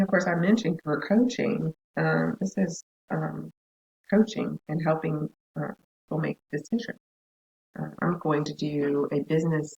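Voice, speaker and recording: A hoarse woman with a scratchy, gravelly voice lectures a counseling class. She talks in a chatty way and breaks off into asides. The recording sounds dull and lo-fi. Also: deep voice. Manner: chatty, laughs, self-interrupting asides. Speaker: counseling instructor.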